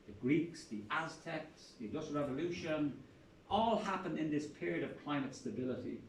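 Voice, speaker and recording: An older Irish man gives a passionate, blunt university talk with bits of salty humor. His voice sits on the high side. He is picked up from a distance in a reverberant room. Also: animated, normal speed, slight background noise. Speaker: professor and panel speaker. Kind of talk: public talk at a university event. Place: lecture room. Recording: echoey room, speaker far from mic.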